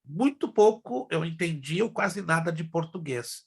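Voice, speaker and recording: A middle-aged pastor teaching an online class, his voice gravelly and a little husky. He grew up with Hebrew and Spanish as his first languages. He talks in a chatty way and keeps turning to speak straight to the class. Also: a little high, animated, unhurried, a little mellow. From Brazil. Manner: chatty, addresses class directly.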